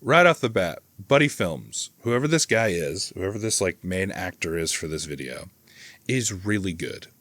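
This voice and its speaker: Man, slightly low pitch, informal rambling reviewer, swears, enthusiastic, very dry sound. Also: lively intonation, moderate pace, a bit bright, no room sound. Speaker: video host reviewing horror channels. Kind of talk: casual reaction commentary, swearing.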